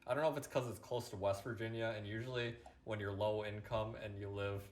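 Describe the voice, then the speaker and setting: A man's voice: on the low side, steady tone, brisk. Laid-back young man, casual swearing. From Pennsylvania. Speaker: podcast co-host. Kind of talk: casual chat.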